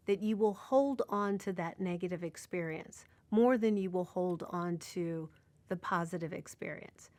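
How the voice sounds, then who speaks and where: A woman delivers an informal lecture in a medium-pitched voice. She explains things step by step with hesitant pauses, and the sound is dry with little room to it. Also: dry recording.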